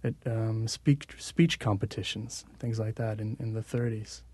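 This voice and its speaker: A man being interviewed on the radio, talking casually with frequent halting restarts. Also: fairly low pitch, fairly even intonation, darkish tone, breathy edge, dry recording. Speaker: musician guest.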